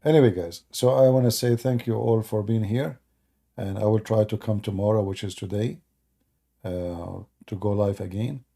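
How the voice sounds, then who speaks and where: A man's voice: on the low side, steady tone, moderate pace, gravelly, warm tone. A man from the Middle East, a native Arabic speaker, hosting a casual live stream in broken English, sarcastic and joking as he answers his viewers. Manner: sarcastic and joking, mocks the chat.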